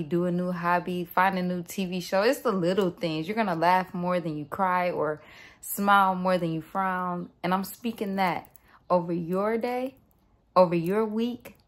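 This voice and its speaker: A young woman vlogging to her phone camera at home, rambling casually and speaking upbeat blessings over her viewers. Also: fairly low pitch. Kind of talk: casual vlog chat to camera. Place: home room, indoors.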